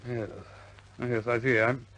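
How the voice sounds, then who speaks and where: An actor playing a concerned senior air force commander in a radio war drama, reserved and halting but quietly authoritative. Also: male voice, medium pitch, flat delivery, close to an everyday voice, low-quality recording. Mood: thoughtful. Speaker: commanding officer.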